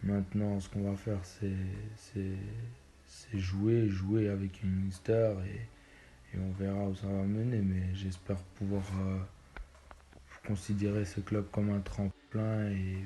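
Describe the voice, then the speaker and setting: Low, flat-voiced young man. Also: takes it slowly, slight background noise. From Belgium. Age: 20s. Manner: hesitant, many fillers and restarts. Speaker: footballer interviewee. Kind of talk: casual interview answers.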